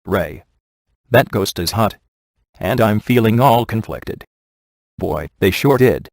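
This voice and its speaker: A wisecracking middle-aged ghost hunter for a video game, speaking in a fairly low voice. He is cocky and flirtatious, tossing off sarcastic one-liners with dry glibness. The sound is very close and free of room echo. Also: lively intonation, takes it slowly, gravelly, exaggerated voice. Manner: dry, sarcastic, glib delivery.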